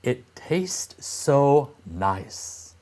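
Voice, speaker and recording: A man's voice: medium pitch, big pitch swings, drawn-out words, a little mellow. A man voicing an eager, hungry young boy from a fairy tale, exclaiming in storybook fashion. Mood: eager, delighted.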